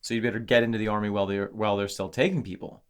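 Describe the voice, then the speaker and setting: A man co-hosting a casual podcast, talking excitedly about song lyrics with many restarts; English is not his first language. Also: fairly low pitch, rapid-fire, smooth. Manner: animated, exclamatory, lots of restarts. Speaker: podcast co-host.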